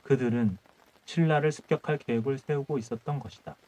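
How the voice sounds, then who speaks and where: Calm male bedtime storyteller. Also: mid-range voice, low-quality recording. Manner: measured, phrase-by-phrase reading. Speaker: audiobook narrator.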